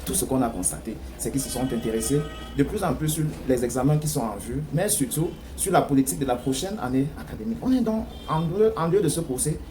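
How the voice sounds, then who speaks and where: A man's voice: medium pitch, animated, quick pace, few pauses, warm tone, soft background music. A man with a Cameroonian French accent giving political commentary in an emphatic way, full of rhetorical questioning. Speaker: news commentator. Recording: clear background noise.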